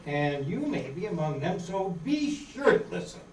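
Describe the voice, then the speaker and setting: Peppy kids' contest host played by a woman, swinging pitch, old-radio style, echoey. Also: big pitch swings, moderate pace. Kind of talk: amateur radio drama reenactment. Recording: far, echoey room, faint noise.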